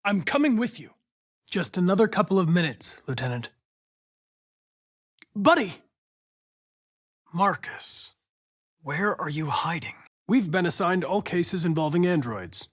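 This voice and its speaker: Young male android investigator, American accent, polite, precise, insistent, animated pitch, dry studio sound, game dialogue. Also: dry recording, exaggerated voice. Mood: detached, resolute. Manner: precise, clipped, formal, measured, insistent when pressed. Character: young male android police investigator, polite, composed, relentlessly mission-driven. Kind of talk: video game voice acting, isolated dramatic lines.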